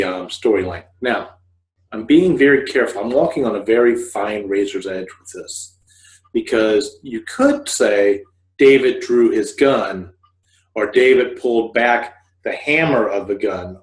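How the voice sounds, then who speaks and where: Middle-aged man explaining, in an echoey room. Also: mid-range voice. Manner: rambling, explanatory, with self-corrections. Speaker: host of a scriptwriting video podcast, fiction writer. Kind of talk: informal instructional talk to camera. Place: living room couch, indoors. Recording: roomy, echoey home recording.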